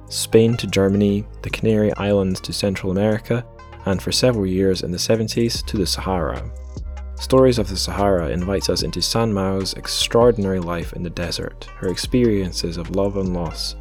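A man's voice: deep voice, a little mellow. A man hosting a podcast in an informal, chatty, conversational style. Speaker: podcast host. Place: remote recording over internet call.

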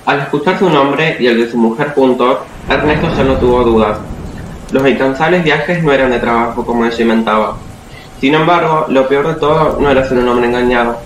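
A man's voice: mid-range voice, fairly quick, natural voice. Man as radio play storyteller, echoey and noisy. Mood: storytelling. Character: male storyteller narrating a tale of deadly love. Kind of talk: dramatic radio play narration. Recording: echoey, distant, noisy room recording.

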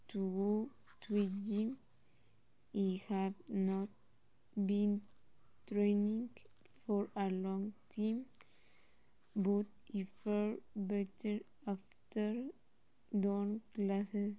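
Slow, hesitant teen girl with Costa Rican accent. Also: medium pitch, level tone, mellow, no echo, dull audio. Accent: Costa Rican Spanish. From Costa Rica. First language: Spanish. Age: teens. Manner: halting, with pauses and self-corrections. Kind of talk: reading a personal introduction.

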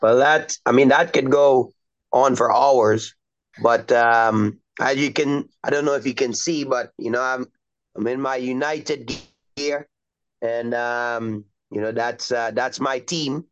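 A middle-aged man from Trinidad and Tobago, a soccer coach talking as a guest in a relaxed, rambling way with lots of fillers. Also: mid-range voice, slow. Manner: easygoing, rambling with many fillers. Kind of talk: casual interview chat. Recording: dull lo-fi recording.

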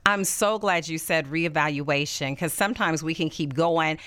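A woman's voice: deep voice, moderate pace, fairly bright tone, clear, resonant tone, no room sound. A woman hosting an interview, enthusiastic and affirming as she asks her guest questions. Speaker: interview host. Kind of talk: conversational interview.

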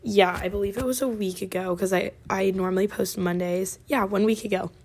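A college-age woman from Oregon hosting her podcast, chatting casually with a raspy voice and lots of fillers. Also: medium pitch, steady tone, a little fast, darkish tone. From Oregon, USA. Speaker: podcast host. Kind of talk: casual chat.

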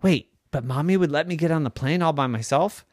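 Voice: medium pitch, fairly quick, a little mellow, no room sound, clean studio sound, lightly acted. A storyteller voicing a little boy who longs to fly, in an improvised children's story, whining and wistful by turns. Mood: eager. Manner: whiny, wistful.

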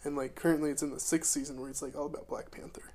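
A man's voice: on the high side, steady tone, rapid-fire, darkish tone. A man with a slightly clogged-up voice chatting casually, often hesitating and trailing off unsure. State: congested, a bit clogged up. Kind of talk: casual chat.

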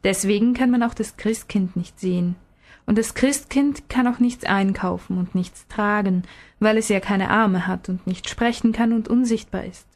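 Storybook father read by a woman, patient, gently probing, explaining things step by step. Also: mid-range voice, moderate pace, natural voice.

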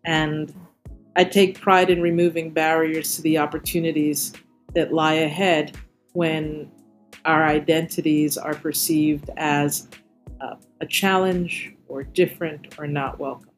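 A middle-aged American woman from New York City, talking reflectively as an interview guest in measured, articulate and deliberate phrases. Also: low-pitched, level tone, faint music behind. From New York City, United States. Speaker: interview guest, corporate diversity executive. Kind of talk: reflective personal talk in an interview.

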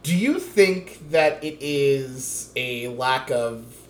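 A man's voice: mid-range voice, expressive, extremely slow, reverberant, slight background noise. A man in his late twenties chatting casually about politics, rambling with many restarts and fillers. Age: 20s.

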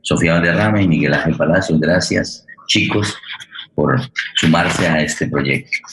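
Male forum host, extremely deep voice, halting delivery, roomy sound. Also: noisy background. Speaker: host of a radio theatre forum. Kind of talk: spoken presentation and thanks.

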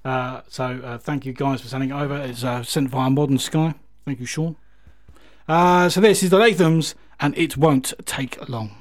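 A man's voice: mid-range voice, moderate pace, no room sound. A man hosts a music radio show in a casual, chatty style. He rambles and hesitates between thoughts. The sound is dry and close.

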